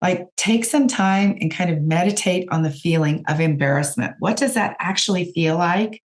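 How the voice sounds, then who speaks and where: A woman with a raspy voice, hoarse from a cold, hosting a podcast and giving direct, encouraging coaching talk to her listeners. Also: fairly low pitch, moderate pace. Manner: encouraging, direct, addresses listeners as you guys. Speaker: podcast host and business coach.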